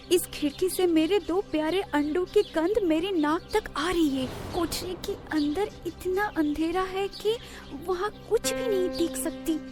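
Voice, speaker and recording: A woman voicing a small, devoted, anxious mother bird in a cartoon story, earnest and theatrical in delivery. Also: extremely high pitch. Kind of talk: cartoon moral story voice acting.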